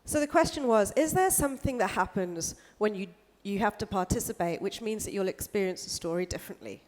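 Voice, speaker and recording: A woman's voice: mid-range voice, animated, a little fast, a little mellow. A woman from the UK giving a casual conference talk, with lots of "kind of" and "like" fillers. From United Kingdom. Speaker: speaker. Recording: echoey hall.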